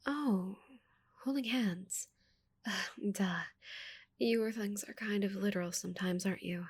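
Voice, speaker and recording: A woman voicing a shy, curious giant female alien in a soft, breathy ASMR roleplay, with a hesitant, stammering manner. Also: mid-range voice, a little slow. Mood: overwhelmed, awed.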